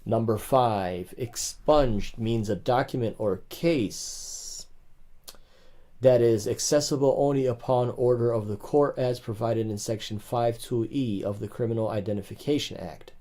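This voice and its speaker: A man's voice: mid-range voice, measured pace, warm tone. A young man hosting a livestream, reading legal rules aloud in bursts and chatting casually with viewers between passages. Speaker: livestream host.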